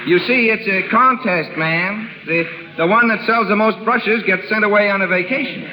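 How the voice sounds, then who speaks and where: A man's voice: high-pitched, moderate pace, background noise, lightly acted. An actor in a 1930s radio drama playing a confident, flirtatious man with a playful, glib, bantering manner. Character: breezy, confident, flirtatious man courting a woman aboard ship. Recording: 1930s radio broadcast with music.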